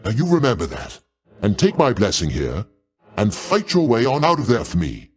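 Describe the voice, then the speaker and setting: A man's voice: mid-range voice, wildly swooping pitch, normal speed. A man voicing a mighty older god king for a video game, a genial, doting uncle with grand, courtly old-fashioned diction. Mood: hearty, encouraging. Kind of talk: theatrical video game voice acting.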